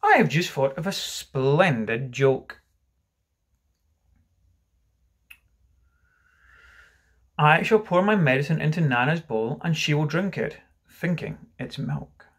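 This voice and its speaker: A man's voice: a little high, lively intonation, a little slow, few pauses, fairly bright tone, slightly rough, full character voice. A man voicing a pompous, blustering, self-pitying father in a dramatised storybook reading, theatrical and exclamatory. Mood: sly, hearty.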